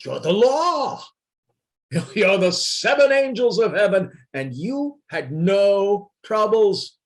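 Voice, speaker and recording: Man as bitter anarchist poet, high-pitched, slow delivery, scornful, declamatory, radio drama. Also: big pitch swings, heavily acted. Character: bitter red-haired anarchist poet, accusing and destructive. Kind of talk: dramatic acting in an amateur radio play reading. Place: remote reading over a video call.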